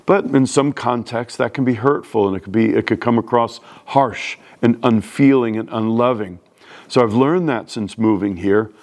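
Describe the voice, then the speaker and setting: A man's voice: medium pitch, normal speed, a little mellow. Blunt New York preacher man. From New York City. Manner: plain-spoken, blunt, conversational preaching. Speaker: pastor. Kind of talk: sermon.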